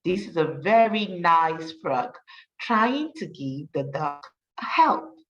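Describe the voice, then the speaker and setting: A woman teacher reading a rhyming story for children, with an encouraging manner and deliberate, emphatic delivery. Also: on the low side, very animated, recorded from a distance, poor audio. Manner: encouraging, praising, addressing children directly.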